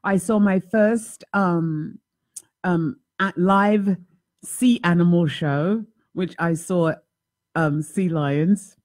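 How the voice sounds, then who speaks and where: A woman hosting a talk show, chatting in an animated, self-mocking way from a home office, speaking into a desk microphone. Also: fairly low pitch, drawn-out words. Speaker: host. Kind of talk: casual chat. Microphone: desk mic on boom arm.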